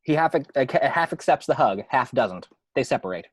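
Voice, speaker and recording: A man with a husky voice reading scripted screenplay action descriptions as narrator. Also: mid-range voice, expressive, normal speed, no room sound, clean studio sound.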